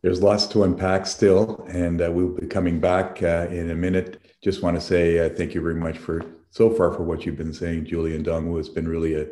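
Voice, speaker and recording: A man hosting a panel discussion, asking measured, halting questions. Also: low-pitched, flat delivery, dark tone, roomy sound. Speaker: host, foundation president.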